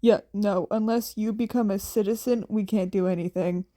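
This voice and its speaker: A woman voicing a weary, blunt adult male hero in a fan fiction audiobook, with a deadpan manner. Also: mid-range voice, moderate pace, dry recording, clean studio sound, voice pushed down, natural voice. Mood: exasperated.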